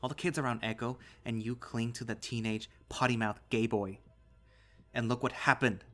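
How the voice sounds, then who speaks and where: A man's voice: mid-range voice, steady tone, moderate pace, fairly bright tone, faint music behind, close to an everyday voice. A man voicing a stern, scornful, hot-tempered animal father who scolds and accuses, in an audio story. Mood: accusing.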